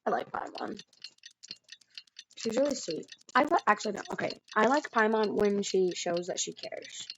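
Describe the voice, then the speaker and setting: A woman's voice: medium pitch, animated, normal speed, a bit bright, slight background noise, rough recording. A woman streaming a game, chatting casually with the game's music playing behind her. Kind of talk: casual live stream commentary. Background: game music and dialogue.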